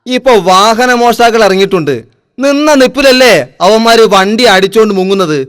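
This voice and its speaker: A man's voice: high-pitched, fairly quick, fairly bright tone, smooth, no echo, clean studio sound. A man voicing a teasing, know-it-all friend who talks in warnings and jibes in a comic cartoon. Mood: mocking, warning. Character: mocking, know-it-all male village friend.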